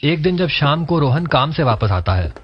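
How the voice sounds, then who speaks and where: A man's voice: on the high side, big pitch swings, moderate pace, breathy edge, lo-fi sound. A man reading scripted narration for a cartoon family story.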